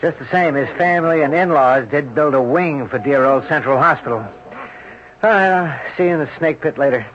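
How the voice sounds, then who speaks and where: An actor playing a brisk, wisecracking male emergency doctor, offhand and slangy. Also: a little high, crowd noise, lightly acted. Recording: muffled vintage radio broadcast.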